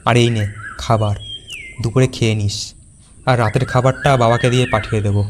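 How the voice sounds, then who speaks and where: A man playing a worried, cautious young village schoolteacher in an animated horror story. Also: medium pitch, moderate pace, slight background noise, natural voice. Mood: uneasy, subdued. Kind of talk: acted cartoon dialogue.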